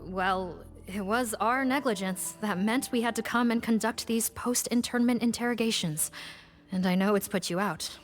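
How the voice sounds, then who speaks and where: An actress playing a dutiful, formal investigator who speaks firmly and cautiously, from a video game. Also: female voice, on the high side, moderate pace, close to an everyday voice. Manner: formal, firm, sighing. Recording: game audio with faint music.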